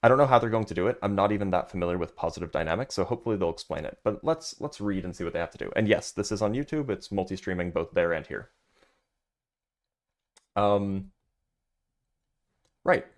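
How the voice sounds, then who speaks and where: A man with a very low, deep voice talks through a scientific paper on a casual livestream. He reads passages aloud, then drifts into loose, filler-heavy asides to his chat. The tone stays relaxed and conversational throughout. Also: brisk, pauses often. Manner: loose and chatty, many fillers, answers chat. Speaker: livestream host reviewing a physics paper.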